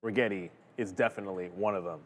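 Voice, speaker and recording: A man's voice: low voice, fast, in a large hall, a little hiss or hum. A man from Canada co-hosting a live trading stream, giving dry short interjections and market commentary in casual chat.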